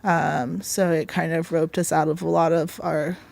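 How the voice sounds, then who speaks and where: A woman's voice: low-pitched, little pitch movement, normal speed, gravelly, darkish tone, dry recording. A woman explaining things casually in an interview. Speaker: tenant being interviewed.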